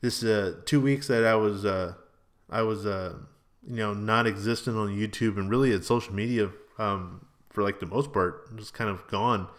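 A man in his early thirties with a fairly low voice talks like a solo podcast host. His delivery is loose and rambling, full of informal slang and exclamations, as if chatting with friends. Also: steady tone, moderate pace.

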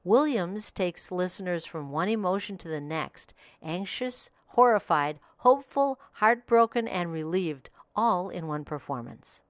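A female podcast host reads a scripted audiobook review with polished, even announcer delivery. Her voice is on the lower side for a woman. The sound is close and dry, with no room around it. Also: measured pace, few pauses, no room sound.

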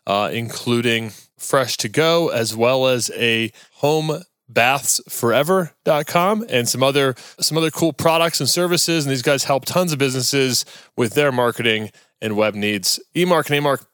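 A man's voice: mid-range voice, moderate pace, fairly bright tone, smooth, no echo, clean studio sound. A man hosting a podcast, chatting with guests in an upbeat, friendly, laid-back way. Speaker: podcast host. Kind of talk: casual interview chat.

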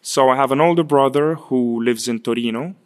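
A man in his twenties, raised in Chile, answering interview questions in a halting way, often repeating words. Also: medium pitch, a little mellow, clean tone. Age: 20s. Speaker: guest, winemaker's son. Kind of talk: casual interview.

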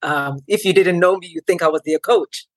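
A woman in her thirties, born in Ghana, recounts her career as a podcast guest. She talks in lively bursts with plenty of ums and acts out the people she quotes. Her voice sounds dry, with no room echo. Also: lowish voice, moderate pace. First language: not English. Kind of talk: conversational interview.

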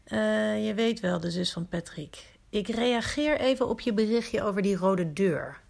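Casual, gravelly-voiced woman. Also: medium pitch, a little slow, darkish tone. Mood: apologetic. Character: casual, apologetic woman replying to a message. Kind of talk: naturalistic voicemail in audio drama.

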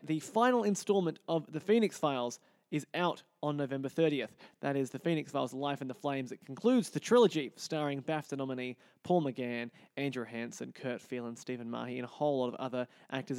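A man's voice: mid-range voice, a little mellow, no echo, clean studio sound. A man hosting a podcast, chatting with a guest and addressing listeners. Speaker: podcast host. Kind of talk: podcast interview and sign-off.

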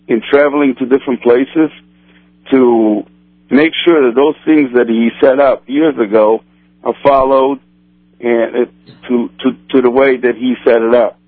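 A man from Brazil whose first language is Portuguese, a kosher supervisor answering interview questions in a halting way with many restarts. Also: mid-range voice, no echo. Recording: tinny phone line, dry.

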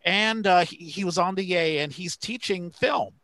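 A man co-hosting a casual talk show, reading out shout-outs and announcements in a halting way as he searches for details. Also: high-pitched, dry recording. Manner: halting, pausing to find names and details. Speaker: podcast co-host.

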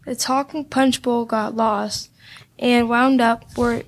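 A woman's voice: a little high, fairly even intonation, takes it slowly. A young woman reading scripted narration for an amateur radio play.

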